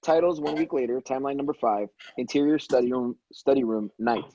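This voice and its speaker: Casting: male stage-directions narrator, relaxed dark tone, dry and slightly lo-fi. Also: mid-range voice, a little fast, no room sound. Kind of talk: scripted narration at a table read.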